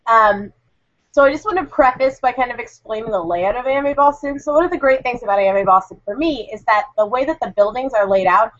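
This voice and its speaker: A woman's voice: fairly high pitch, brisk, fairly bright tone, no echo. A woman reviewing a convention in a chatty, rambling way while getting over a cold. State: recovering from a cold. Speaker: convention correspondent. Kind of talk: casual podcast review. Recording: dull lo-fi sound.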